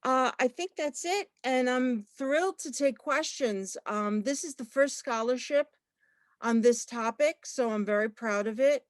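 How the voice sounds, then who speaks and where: Woman, librarian, talking at an online launch, halting with fillers, dry close sound. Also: high voice, no room sound. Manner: halting, many fillers and restarts. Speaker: librarian and researcher. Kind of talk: online book launch talk. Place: online video call.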